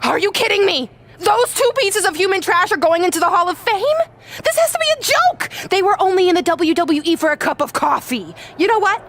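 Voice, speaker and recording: An actress in a video game cutscene playing a spiteful, gloating wrestling villainess with a sneering, sarcastic, haughty manner. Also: female voice, extremely high pitch, big pitch swings, a little fast, fairly bright tone, heavily acted. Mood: furious.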